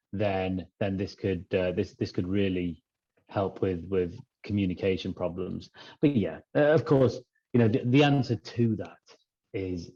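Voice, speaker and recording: A man talking through ideas in a conversational podcast discussion, in a halting, thinking-aloud manner. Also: deep voice, mellow, breathy edge, lo-fi sound. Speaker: podcast regular discussing pharmacy business.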